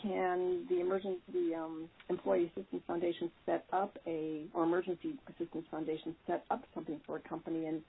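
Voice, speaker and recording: Woman, strongly rough voice, gravelly fry, tentative roundabout questions, narrow telephone sound. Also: fairly low pitch, little pitch movement, brisk, hardly any pauses. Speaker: participant asking questions. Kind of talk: informal questions on a conference call. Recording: muffled, band-limited conference call line.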